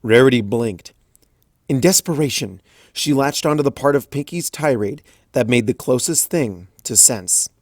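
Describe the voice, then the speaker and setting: A narrator reading a comic fan story aloud, with expressive storytelling, recorded at home on a close mic. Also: mid-range voice, moderate pace, dry recording. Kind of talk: audiobook fanfic reading.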